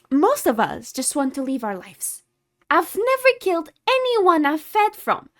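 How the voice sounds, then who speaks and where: Haughty high-voiced tsundere vampire girl, acted by a woman. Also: exaggerated voice. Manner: condescending, exasperated, flustered scolding with sighs. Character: tsundere female vampire, haughty but secretly caring. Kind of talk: ASMR roleplay, scripted character acting.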